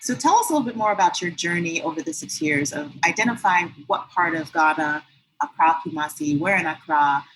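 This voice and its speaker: A woman's voice: low voice, animated, brisk, a bit bright, a little hiss or hum, poor audio. A woman hosting a podcast interview, asking questions and encouraging her guest with frequent agreement. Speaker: podcast host.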